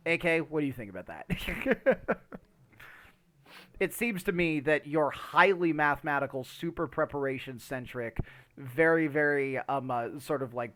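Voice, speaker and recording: A man's voice: medium pitch, a bit bright. A man co-hosting a gaming podcast, chatting casually in a wordy, rambling way with wry, colloquial asides. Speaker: podcast co-host and game streamer.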